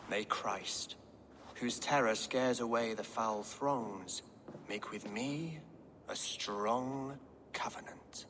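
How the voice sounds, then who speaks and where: An actor voicing a solemn, stern medieval man of faith in a video game, grave and deliberate. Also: mid-range voice, fairly bright tone, a little hiss or hum.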